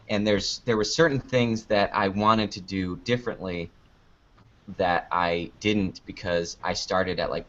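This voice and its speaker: Muffled, low-voiced man chatting casually. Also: fairly bright tone. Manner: rambling, self-deprecating, jokey. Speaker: interview guest, online video maker. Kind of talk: casual unscripted interview chat.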